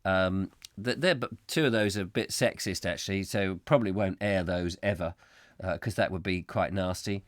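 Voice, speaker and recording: Hoarse man rambling live. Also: low-pitched, normal speed, no echo, clean studio sound. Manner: rambling, whimsical, self-mocking. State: slightly hoarse. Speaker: host. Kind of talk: comic live chat show.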